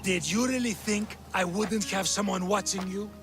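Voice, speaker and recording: Male actor as menacing captor, high-pitched, cold, threatening, video game cutscene, music behind. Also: moderate pace, lightly acted. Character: cold, menacing villain holding a captive.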